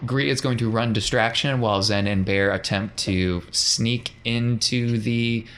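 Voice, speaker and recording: A man's voice: mid-range voice, steady tone, a little slow, fairly bright tone. A man working as game master, narrating and refereeing a tabletop game in a casual, encouraging way.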